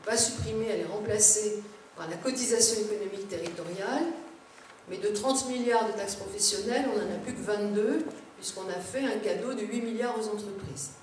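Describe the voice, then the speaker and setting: A woman's voice: medium pitch, fairly quick, darkish tone. Female seminar speaker, explanatory with informal asides, heard from off-mic in a heavily echoing space with faint noise. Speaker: conference speaker, local government adviser. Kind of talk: public lecture to elected officials. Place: large echoing indoor seminar room. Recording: very echoey room, distant mic, background noise.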